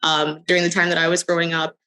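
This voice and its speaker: A middle-aged woman answering interview questions conversationally, telling her story in short phrases with hesitant pauses. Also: fairly low pitch, level tone, bright, forceful tone. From the Bahamas. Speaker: interview guest, crypto company executive.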